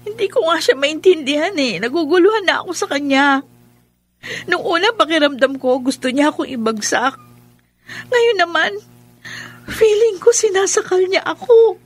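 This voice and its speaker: A woman's voice: very high-pitched, expressive, moderate pace, long pauses, faint music behind. A woman acting in a radio drama as an earnest, polite young student pleading her case. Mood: troubled. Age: young adult. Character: earnest, respectful young student niece in love.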